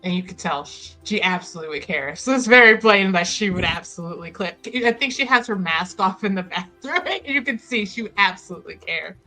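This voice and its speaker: A woman playing in a tabletop roleplaying game, improvising in character with asides, in candid, halting phrases. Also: mid-range voice, lively intonation, bright, forceful tone. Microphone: close microphone on online stream. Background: music.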